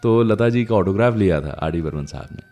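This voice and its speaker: Male radio host, deep register, animated melodic delivery, nostalgic anecdotes, unhurried pauses, conversational tone. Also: faint music behind. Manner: nostalgic storytelling.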